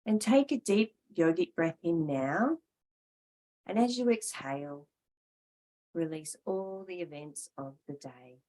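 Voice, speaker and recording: A woman teaching yoga and guided meditation, calm and measured, leaving pauses between short phrases. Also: lowish voice, poor audio.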